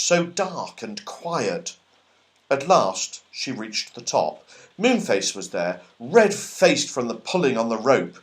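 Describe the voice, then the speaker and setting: A man reading a children's story aloud as expressive, scripted narration. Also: medium pitch. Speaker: audiobook reader.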